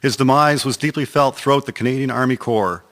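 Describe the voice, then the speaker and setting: A man's voice: mid-range voice, a little fast, a bit bright. A man narrating a historical radio drama from a script in a solemn, formal storytelling manner. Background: music.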